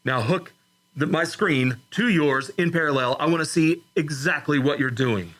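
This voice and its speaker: Actor as desperate man, radio drama, urgent threats, bright forceful voice, slightly high. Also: normal speed. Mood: menacing, demanding. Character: desperate armed man threatening to fire rocket.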